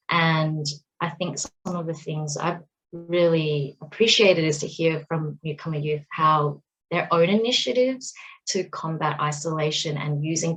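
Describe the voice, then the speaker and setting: A woman's voice: low voice, poor audio. A woman with a Canadian accent, an earnest youth-work facilitator presenting on a video call with frequent fillers. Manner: earnest, measured, with many fillers. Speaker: workshop facilitator. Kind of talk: online presentation.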